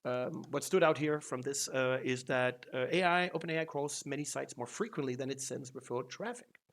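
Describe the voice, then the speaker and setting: A man's voice: mid-range voice, moderate pace, fairly bright tone. A man hosting a casual news roundup on his channel, talking loosely, with many ums and false starts. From Denmark. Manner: loose and rambling, lots of ums and restarts. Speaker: SEO news channel host.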